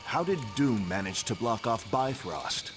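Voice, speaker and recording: A man's voice: lowish voice, very animated, unhurried, background noise. A man playing a serious, practical superhero leader in a video game, earnest and straightforward. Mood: concerned. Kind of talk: video game cutscene acting.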